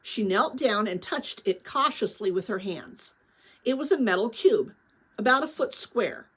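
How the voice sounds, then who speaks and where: A woman narrating a children's novel as a dramatic read-aloud, with an expressive delivery aimed at young listeners. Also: medium pitch, breathy edge, rough recording. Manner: expressive, pauses to address young listeners with questions.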